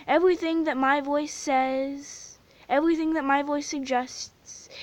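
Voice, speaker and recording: A woman guiding a hypnosis induction, slow and soothing, repeating suggestions over and over. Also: sits high, a little mellow.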